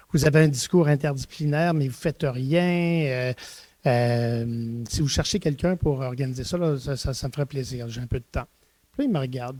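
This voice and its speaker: A middle-aged man with a mellow, warm tone speaks in a relaxed interview. He tells anecdotes with lively bursts and frequent hesitant restarts. The sound is close and dry. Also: mid-range voice, breathy edge, no room sound. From Eastern Ontario, Canada. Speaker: university rector, guest. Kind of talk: reflective conversational interview.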